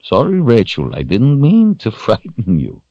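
Fantasy audiobook elder figure, done by the narrator, a man: kindly, reassuring robed man, animated intonation. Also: on the low side, unhurried, husky, no room sound, lo-fi sound, voice pushed up, exaggerated voice.

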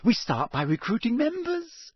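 An earnest, bossy teenage girl from a storybook, voiced by a man. The pitch is lifted and swings widely as she insists briskly and impatiently, in a close, dry sound. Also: expressive, slightly rough, dry recording. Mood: eager, insistent.

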